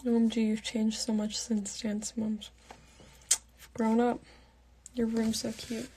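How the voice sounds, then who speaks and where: A young woman in her late teens reading out fan comments and answering them in a soft, half-whispered voice, on a phone held at arm's length in her bedroom at night. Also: a little high, little pitch movement, slow, slight background noise, low-quality recording. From Pittsburgh, United States. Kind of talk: casual live Q&A chat.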